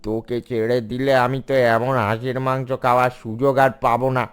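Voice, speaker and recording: A man's voice: mid-range voice, level tone, a little fast, smooth, natural voice. A man voicing a cunning, cruel fox in a cartoon, with a menacing, gloating manner. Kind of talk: cartoon villain dialogue. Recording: music behind the voice.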